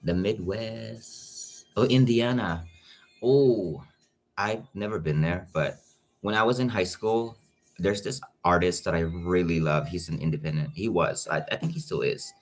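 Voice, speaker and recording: Low-voiced man with a Filipino accent. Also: unhurried. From Philippines. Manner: chatty, greets viewers by name. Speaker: YouTube reactor. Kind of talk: casual livestream chat.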